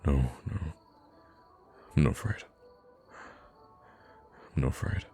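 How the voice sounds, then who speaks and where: An extremely low, slightly gravelly male voice as a man acts out a kind young prince in an intimate ASMR roleplay. He speaks in courtly, formal phrases with hesitant pauses, noble and gently witty, with faint music behind him. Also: lively intonation, heavily acted. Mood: shaken, gentle. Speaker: voice actor in an audio roleplay.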